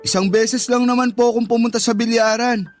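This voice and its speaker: A high-voiced Filipino teenage boy, respectful yet defensive and pleading, as in a radio drama. Soft music runs underneath. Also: fairly even intonation, fairly quick. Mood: defensive, sheepish. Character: teenage student son, respectful but defensive. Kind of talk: Tagalog radio drama acting.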